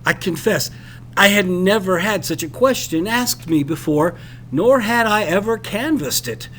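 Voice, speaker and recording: A man reading a literary passage as expressive scripted narration, with dramatic, deliberate phrasing. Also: high voice, a little hiss or hum.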